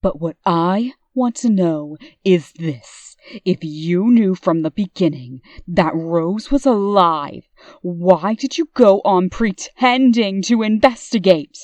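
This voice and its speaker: Woman playing stern sarcastic sister in a comic dub, real slow, lowish voice, dry sound, demanding. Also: darkish tone, dry recording. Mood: sarcastic, impatient. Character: stern, sharp-tongued sister of a missing woman.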